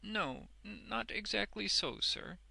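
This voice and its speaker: A man's voice: sits high, very animated, a little slow, vocal fry, bright, forceful tone, breathy edge, no room sound, pitched above the performer's own voice, full character voice. A man voicing a smug, obsequious street ballad seller in a storybook, deferential yet self-important. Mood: falsely modest.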